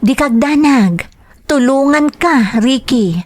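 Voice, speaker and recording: A woman's voice: sits high, animated, slow pace, darkish tone, dry recording, heavily acted. A woman with a Filipino accent playing a friendly, persuasive young job recruiter in an audio drama. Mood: persuasive, coaxing.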